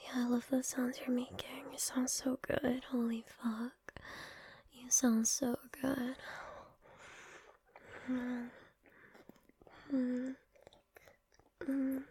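A woman whispering softly and coaxingly in an intimate audio roleplay, with murmured hums between phrases. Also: high-pitched, flat delivery, measured pace, breathy edge, no echo. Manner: coaxing, affectionate.

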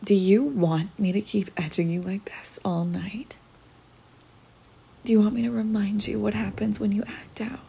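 A woman voicing a dominant, teasing young woman in a whispered ASMR roleplay, speaking in a breathy whisper with a slow, commanding manner. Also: medium pitch, fairly even intonation, warm tone.

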